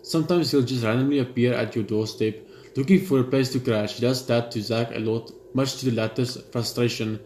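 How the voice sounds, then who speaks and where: A man gaming, reading a horror visual novel's narration aloud in a steady read, dropping blunt side remarks. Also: mid-range voice, brisk, roomy sound, a little hiss or hum. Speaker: gamer.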